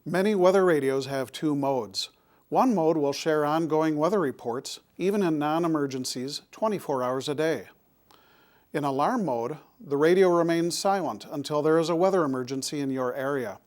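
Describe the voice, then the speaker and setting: A man presenting scripted public safety information in a deliberate, instructional manner. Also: fairly high pitch, darkish tone.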